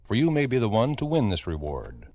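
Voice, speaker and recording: Low, dramatic American radio crime narrator. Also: male voice, huge pitch swings, dry recording. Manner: grave, dramatic, deliberate. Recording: dull vintage radio recording with music.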